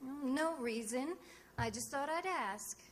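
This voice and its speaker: A woman's voice: high voice, lively intonation, measured pace, a little hiss or hum. An actress playing a breezy, shrewd female private eye in old-time radio detective drama, wry, glib and teasing. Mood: teasing, guarded. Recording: vintage radio drama recording with music.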